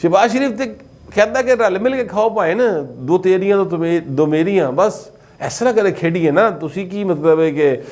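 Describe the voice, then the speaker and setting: A man's voice: high voice, normal speed, a little mellow. A man with a Pakistani Punjabi accent giving conversational, informal commentary as a political commentator. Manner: conversational, slipping between Urdu and Punjabi.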